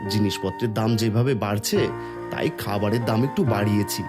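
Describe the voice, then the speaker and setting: A man's voice: fairly low pitch, a little fast, over music, close to an everyday voice. A man voicing a greedy, sly village food-stall owner in a cartoon moral story. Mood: matter-of-fact.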